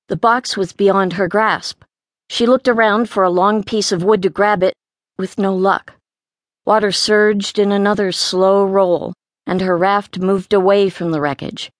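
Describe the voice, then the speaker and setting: A woman's voice: mid-range voice, dry recording, clean studio sound. A woman reading a story aloud as an audiobook narrator, in scripted narration.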